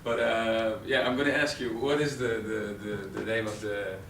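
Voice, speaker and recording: A man's voice: lowish voice, steady tone, moderate pace, fairly bright tone. Hesitant Dutch man presenting to a room. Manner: hesitant, many fillers and restarts. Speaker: presenter in a training course. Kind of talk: live classroom presentation. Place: training room with an audience. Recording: roomy, distant camera audio with noise.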